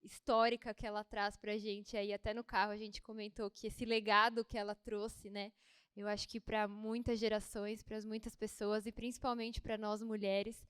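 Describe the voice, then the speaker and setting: A woman's voice: mid-range voice, flat delivery, normal speed, fairly bright tone, clean tone, no echo. A woman co-hosting a talk show, speaking with open admiration for the guest. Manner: admiring, fan-like. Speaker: co-host. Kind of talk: casual chat.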